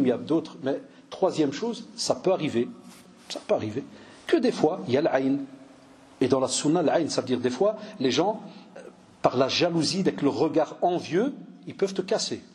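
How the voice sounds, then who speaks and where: A man in his forties from Belgium, an imam giving a religious lecture, telling lively stories and mixing in Arabic phrases. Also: a little high. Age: 40s. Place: mosque cultural center hall.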